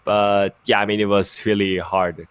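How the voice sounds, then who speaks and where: A young man speaks English with a Korean accent. His voice sits low, the sound is dry and close, and he talks haltingly with many fillers and self-corrections. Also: little pitch movement, smooth, no echo. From Busan, South Korea. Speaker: esports caster and former pro player. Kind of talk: casual interview over a call.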